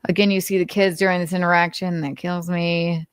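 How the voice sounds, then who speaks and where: A woman's voice: lowish voice, little pitch movement, brisk, clean tone, dry recording. A woman livestream host doing a dramatic reading, breaking off into sweary, sarcastic casual commentary. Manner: sweary, sardonic asides.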